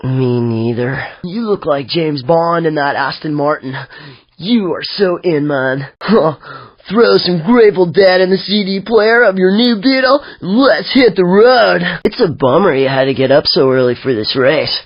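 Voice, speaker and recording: A man's voice: a little high, expressive, a little slow, dry recording. A man voicing a laid-back, spacey, peace-loving hippie for a video game, with a dazed drawl. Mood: spacey, mellow. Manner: drawling, dazed, mellow slacker delivery. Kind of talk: video game character quips. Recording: slightly dull compressed game audio.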